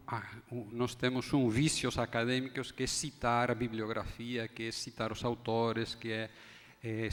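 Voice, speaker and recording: Spanish-accented academic guy giving a forum talk, measured and halting, long hall reverb. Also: mid-range voice. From Spain. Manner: measured, halting, restarts phrases. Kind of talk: formal lecture talk. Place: conference hall.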